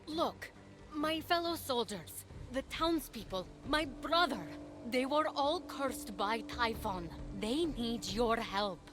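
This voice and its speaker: An actress voicing a plucky, cheeky young mortal heroine, eager, coaxing and teasing, in a video game cutscene. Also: female voice, on the high side, lively intonation, takes it slowly, over music. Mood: earnest pleading.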